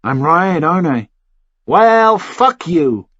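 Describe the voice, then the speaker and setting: Male reader as defiant journalist, indignant, blunt, raised higher pitch, thriller audiobook, dry dull recording. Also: sing-song, slow pace, a bit bright, no echo, exaggerated voice.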